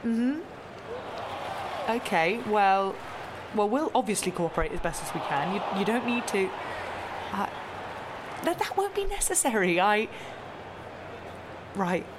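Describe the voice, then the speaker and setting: An actress playing a brisk, exasperated head of communications, curt and dry, in a naturalistic comedy drama. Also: female voice, medium pitch, sing-song, background noise. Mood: urgent.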